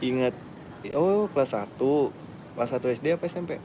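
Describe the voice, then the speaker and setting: A man's voice: medium pitch, expressive, brisk. Live streamer: young man, casual chat style, recorded outdoors with noticeable ambient noise. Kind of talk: casual chat, reading live comments aloud. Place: outdoors at a busy tennis court. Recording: noisy outdoor spot, traffic-like background.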